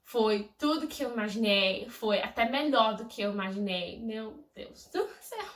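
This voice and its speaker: A young woman from England, a native English speaker, talks casually to camera. Her tone is bright and her manner gushing and effusive. The sound is echoey, with the mic set back from her. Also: fairly high pitch, normal speed, recorded from a distance. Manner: effusive, gushing, lively storytelling. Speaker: vlogger.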